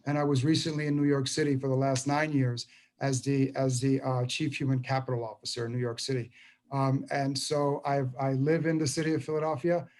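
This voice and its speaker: A man in his fifties, a school superintendent, addressing a public meeting by video call in a halting way with many repeated words and restarts. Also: mid-range voice, little pitch movement, a little mellow.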